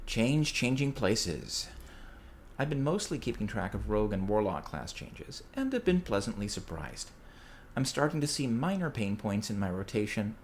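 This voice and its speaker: A man giving a prepared, measured report as a contributor to a podcast. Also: lowish voice. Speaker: listener sending in a recorded report. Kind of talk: prepared, scripted-sounding report. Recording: clear background noise.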